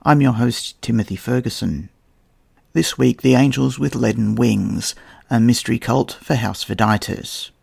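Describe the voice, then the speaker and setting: A man hosting a podcast, giving a scripted, measured narration with a slightly croaky voice. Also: mid-range voice, darkish tone. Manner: measured, evocative storytelling delivery. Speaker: podcast host. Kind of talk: scripted narration on history and tabletop role-playing.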